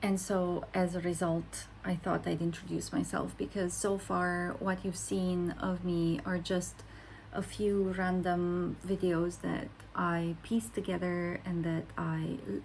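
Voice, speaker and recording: Slow, gravelly-voiced Romanian woman in her forties. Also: on the low side, a little mellow, slight background noise, poor audio. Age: 40s. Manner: slow, thoughtful, with many pauses and fillers. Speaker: content creator. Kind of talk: reflective casual monologue to camera.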